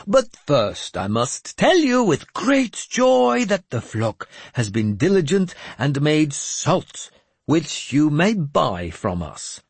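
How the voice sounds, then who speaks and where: A lofty, crafty madman of a bishop, his voice pitched high and stretched out in a slow, sing-song sermon cadence. He sounds slightly husky, and the sound is dry and close, as in an audiobook. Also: huge pitch swings, dry recording, full character voice. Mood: sly. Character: mad, sly, lofty self-proclaimed male bishop.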